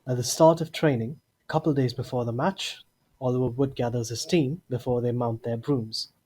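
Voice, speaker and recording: A man's voice: medium pitch, moderate pace, mellow, slightly rough. A man reading scripted narration for an audio drama.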